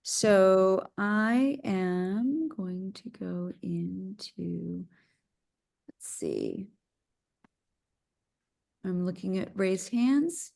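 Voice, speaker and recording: A woman's voice: medium pitch, sing-song, gravelly, darkish tone. Woman chairing an online meeting. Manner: halting, with fillers and restarts. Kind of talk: moderating public comment.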